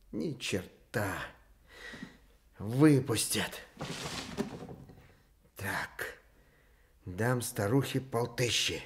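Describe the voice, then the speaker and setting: A bitter veteran father in a Soviet radio play. He is hard-edged and calculating. His delivery is slow, with big swings in pitch. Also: darkish tone. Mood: agitated, calculating.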